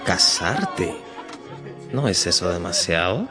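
A cynical aristocrat in a radio drama. He has a low, slow voice with a suave, insinuating manner. Music is clearly heard behind him. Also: very animated, a crowd in the background, heavily acted. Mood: amused provocation.

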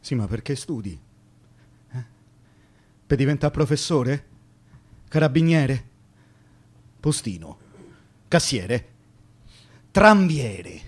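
An actor playing a teasing, down-to-earth working man in a radio drama, talking in colloquial, halting questions. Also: medium pitch, very animated, breathy edge, full character voice. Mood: teasing, curious. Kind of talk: theatrical radio drama dialogue.

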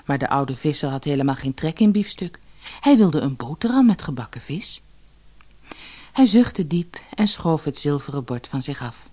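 A woman reading a scripted children's fairy tale as an expressive, measured narrator. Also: deep voice, husky. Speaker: storyteller, narrator.

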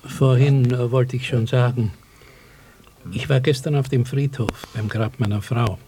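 An actor playing a mild, reflective widowed village man in a radio play, with a measured, hesitant delivery. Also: medium pitch, steady tone, warm tone, close to an everyday voice. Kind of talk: German radio play acting. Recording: clearly hissy cassette recording.

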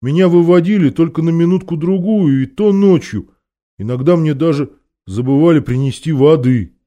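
A man voicing a meek, slow-witted old donkey in a children's fantasy story, apologetic and plaintive, speaking haltingly and naively. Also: fairly high pitch, mellow, pitched above the performer's own voice, lightly acted. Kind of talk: children's fantasy audiobook character.

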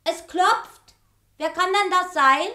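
A woman playing a simple, good-natured, excitable character in a children's radio fairy tale, with a naive, exclaiming manner. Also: extremely high pitch, slow, a little hiss or hum, heavily acted. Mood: delighted.

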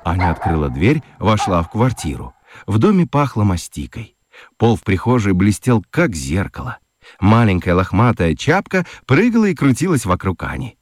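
Lively low-voiced male storyteller. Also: normal speed. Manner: expressive storytelling. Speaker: narrator. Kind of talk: scripted narration for children's radio.

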